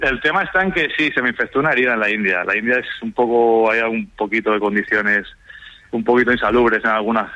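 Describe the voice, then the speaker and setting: A man's voice: mid-range voice, bright, forceful tone. A man from Spain with a Castilian accent, chatting casually as an interview guest and often ending phrases with a questioning tag. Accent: Spanish from Spain. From Zamora, Spain. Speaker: traveller guest, secondary school teacher. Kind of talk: casual radio interview, telling travel anecdotes. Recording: roomy, slightly dull sound.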